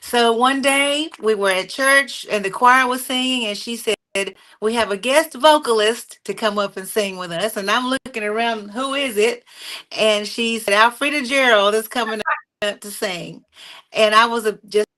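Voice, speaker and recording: Bright-voiced woman singer telling stories. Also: fairly high pitch, moderate pace. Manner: warm storytelling with fillers and small laughs. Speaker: singer, interview guest. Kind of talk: casual interview conversation.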